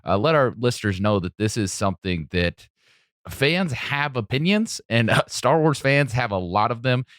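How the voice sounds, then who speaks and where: A man co-hosting a podcast, speaking casually with earnest, deliberate phrasing. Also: medium pitch, expressive, a bit bright, no room sound, studio quality. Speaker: podcast co-host.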